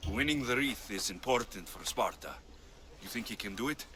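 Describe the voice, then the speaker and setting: Man acting a curt Spartan mercenary with lively pitch. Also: normal speed, noisy background, natural voice. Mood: dry, businesslike. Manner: curt, wry, commanding. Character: gruff, impatient Spartan mercenary ship captain. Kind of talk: video game character acting.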